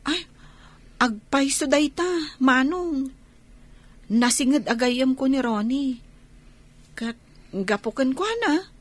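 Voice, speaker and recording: A woman's voice: high-pitched, slow, long pauses. A woman playing a humble, remorseful young daughter in a Philippine radio drama.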